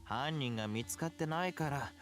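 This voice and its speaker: An actor playing an excitable, deferential young male gang underling in a Japanese visual novel. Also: medium pitch, racing, soft background music, lightly acted. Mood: urgent explaining.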